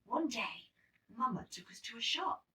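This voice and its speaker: A woman reading a children's story aloud in an expressive, emphatic storytelling manner. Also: on the high side, normal speed, recorded from a distance. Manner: animated, emphatic storytelling with acted-out dialogue. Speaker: storybook reader.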